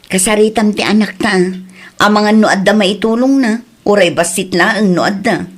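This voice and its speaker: A woman's voice: mid-range voice, normal speed, lightly acted. Ilocano aunt, concerned and homely acted by a woman. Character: older rural Ilocano wife and aunt, concerned. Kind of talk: amateur audio drama acting, conversational.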